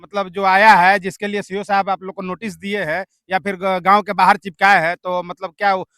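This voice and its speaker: A man's voice: sits high, level tone, a little fast, bright, forceful tone, clear, resonant tone, no echo. A man with a Bihari accent doing on-location interviews and commentary to camera, repeating himself and searching for words with fillers. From India. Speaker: field news reporter.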